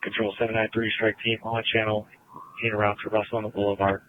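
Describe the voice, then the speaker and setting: A man's voice: on the low side, little pitch movement, quick pace, no room sound. Policeman on thin, tinny radio. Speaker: police officer. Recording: tinny, narrow-band police radio transmission.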